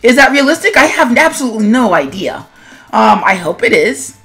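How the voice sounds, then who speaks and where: A woman's voice: fairly high pitch, very animated, brisk, fairly bright tone, soft background music. A woman talking casually as an animator and video host, in a rambling, self-deprecating, conversational way. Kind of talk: casual commentary vlog on own animation.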